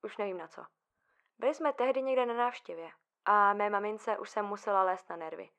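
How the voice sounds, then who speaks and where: A woman's voice: medium pitch, a bit bright, lightly acted. An actress playing an earnest, troubled young woman confined as a patient in an audio drama, insistently questioning everything. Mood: wistful, recalling. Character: distressed, overthinking young female patient confined for years.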